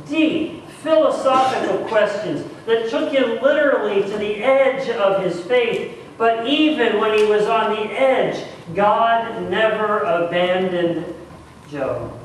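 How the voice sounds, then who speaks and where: Middle-aged man as pastor, deliberate sermon with repetition for emphasis, very reverberant church, distant mic. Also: sits high, lively intonation. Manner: deliberate, dramatic pauses and repeated phrases for emphasis. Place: church sanctuary, speaking at the front. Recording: echoey church, distant mic, faint noise.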